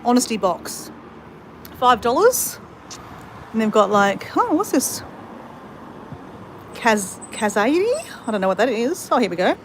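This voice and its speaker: A woman's voice: fairly high pitch, big pitch swings, measured pace, pauses often, fairly bright tone, slight background noise. An Australian woman chatting to her viewers while she walks around outdoors in a country town and along a creek. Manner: chatty, talks straight to viewers as "guys". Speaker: vlogger. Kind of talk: casual walking vlog.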